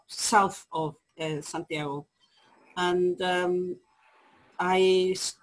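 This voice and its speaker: A woman's voice: low voice, poor audio. A woman in her fifties with a Chilean Spanish accent, a publisher telling her story in an interview over a video call, hesitant with many ums and restarts. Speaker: guest, publisher. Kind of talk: conversational interview. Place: home study with bookshelves.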